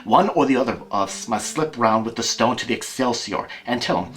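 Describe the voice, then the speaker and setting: A man's voice: mid-range voice, brisk, fairly bright tone, natural voice. A man playing a scheming villainous colonel in an audio drama, conspiratorial, clipped and impatient. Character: scheming, tense villainous colonel, leader of crooks. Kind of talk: amateur audio drama acting.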